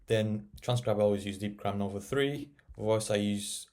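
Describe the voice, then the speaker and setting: A man narrating a casual software tutorial, explaining and thinking aloud as he works. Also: lowish voice, moderate pace. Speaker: tech tutorial presenter.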